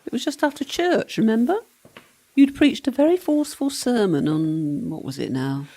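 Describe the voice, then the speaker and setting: Woman playing a husky, sardonic ghostly servant girl. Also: a little high, lively intonation, normal speed, warm tone. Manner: sarcastic, teasing, breezy. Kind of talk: comic radio play acting.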